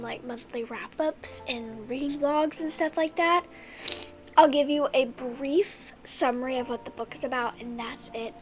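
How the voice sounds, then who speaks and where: A young woman with a stuffy, congested voice, chatting casually and rambling about her favorite books. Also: high voice. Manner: chatty and rambling, with many restarts. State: congested from a cold. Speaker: book vlogger. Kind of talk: casual chat.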